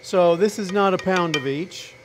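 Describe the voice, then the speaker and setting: A middle-aged man, a chef, talking through a recipe in a relaxed, chatty way in a restaurant demo kitchen. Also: high voice, moderate pace, over music. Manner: relaxed, chatty step-by-step explaining. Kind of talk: cooking demonstration.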